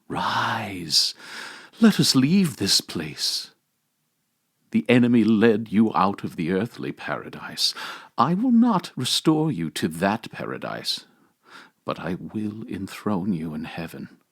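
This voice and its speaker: A majestic male divine figure, acted by a man reading aloud, commanding and tender in a sacred dramatic reading. The voice is lowish and very slow. It declaims with solemn emphasis, rising and falling widely. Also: big pitch swings, a bit bright, husky, exaggerated voice. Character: Christ risen among the dead, majestic, commanding, tender.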